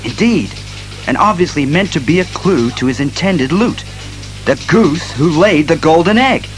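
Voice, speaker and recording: A man playing a stern, analytical masked detective, speaking resolutely and deliberately in a superhero audio drama. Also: on the high side, animated, faint music behind, lightly acted. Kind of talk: superhero radio drama acting.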